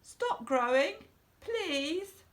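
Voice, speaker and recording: A woman's voice: high voice, sing-song, drawn-out words, pitched above the performer's own voice, exaggerated voice. A woman voicing an earnest young child who owns a pet in a children's storybook, speaking in simple, heartfelt sentences. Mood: pleading.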